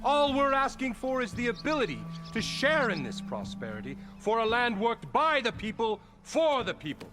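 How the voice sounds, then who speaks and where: An actor playing a pompous, self-important labour boss in a fantasy video game, bombastic, theatrical and mock-courteous in manner. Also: male voice, very high-pitched, huge pitch swings, normal speed, few pauses, music in the background, exaggerated voice. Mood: righteous bluster. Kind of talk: video game character acting.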